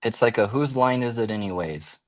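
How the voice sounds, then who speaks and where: A man's voice: on the low side, animated, fairly quick, dry recording. A man co-hosting a podcast in casual, joking chat. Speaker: podcast co-host.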